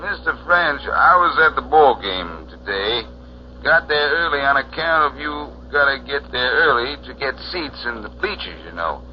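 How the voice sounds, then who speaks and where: A man's voice: mid-range voice, big pitch swings, normal speed, slight background noise, voice pushed up, close to an everyday voice. A man voicing a folksy, sly hotel porter with a drawling, homespun, insinuating manner, in 1940s radio style. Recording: muffled, humming old radio recording.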